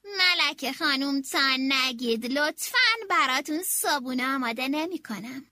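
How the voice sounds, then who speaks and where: A woman's voice: high voice, bright, forceful tone, no echo, studio quality. A woman voicing a grumbling palace cook who firmly refuses. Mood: stubborn. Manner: grumbling, slow and firm.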